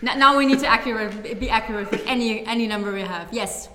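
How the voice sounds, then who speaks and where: A woman's voice: on the high side, fast, bright, forceful tone, a little hiss or hum. A woman giving explanatory commentary as a political analyst on a panel, emphatic and precise in how she explains. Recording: echoey, reverberant hall.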